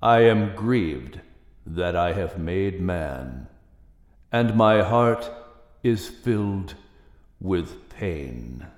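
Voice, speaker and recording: A man's voice: deep voice, lively intonation, drawn-out words, echoey room, big-room sound, pitched below the performer's own voice, heavily acted. A man voicing God in an audiobook with a grave, solemn manner. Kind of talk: audiobook character reading.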